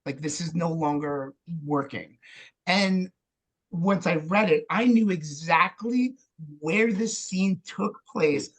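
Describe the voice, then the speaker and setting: A man's voice: fairly high pitch, takes it slowly, low-quality recording. A man talking candidly and casually as an interview guest, acting out little quoted asides. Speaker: interview guest, theatre director and playwright.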